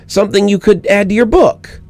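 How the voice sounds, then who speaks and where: A man voicing a pleading, eager little girl of six who talks haltingly, in a spooky story reading. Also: sits high, steady tone, darkish tone, soft background music, pitched above the performer's own voice, natural voice. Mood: eager, bargaining. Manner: halting, stammering, old beyond her years.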